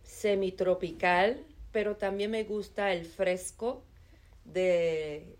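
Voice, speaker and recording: A middle-aged woman from Chicago, answering interview questions calmly and earnestly, with hesitant fillers. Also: medium pitch, slow. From Chicago, United States. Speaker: interviewee, nonprofit administrative assistant.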